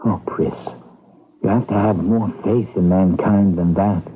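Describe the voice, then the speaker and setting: Casting: idealistic young husband, husky mid-range voice, anxious and coaxing, muffled old radio-drama sound. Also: male voice, deep voice, steady tone, unhurried, soft background music. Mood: earnest. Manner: anxious, coaxing, seeks agreement. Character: earnest, trusting young husband, idealistic rebel against computers. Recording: dull, band-limited 1980s radio recording.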